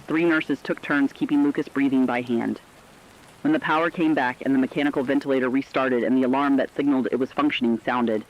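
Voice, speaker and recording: A man narrating scripted human-interest stories for a radio broadcast in a solemn, measured way. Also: medium pitch. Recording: dull lo-fi radio sound.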